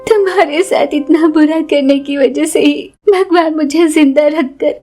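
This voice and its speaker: A woman's voice: sits high, fairly even intonation, unhurried, a little mellow, soft background music. A woman voicing a jealous, guilt-ridden young village woman in a dramatic, emotional cartoon story. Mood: remorseful, tearful.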